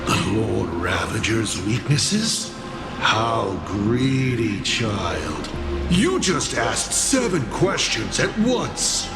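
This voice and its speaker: A man's voice: on the low side, sing-song, reverberant, over loud music, heavily acted. A man voicing a theatrical, playful villain lord in a video game, teasing and grandiose in his delivery. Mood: mocking, indulgent. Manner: teasing, grandiose, drawn-out phrasing. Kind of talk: video game character acting.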